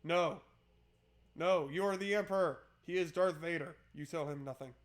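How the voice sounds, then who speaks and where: A man's voice: a little high, normal speed. A man doing casual, joking playthrough commentary and reading game narration aloud in an informal way. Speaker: game streamer.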